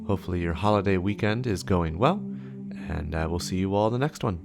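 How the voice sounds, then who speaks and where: Man, deeper-pitched, lively intonation, scripted lore narration, music bed. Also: a little fast, vocal fry. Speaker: narrator and channel host. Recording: music behind the voice.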